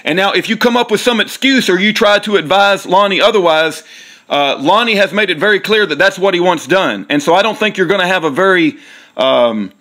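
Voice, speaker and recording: A man's voice: high-pitched, animated, brisk, fairly bright tone. A man speaks as a caller leaving a message. He is stern and accusatory, putting emphasis on his points as he lays out a formal complaint. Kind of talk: formal complaint left by voicemail.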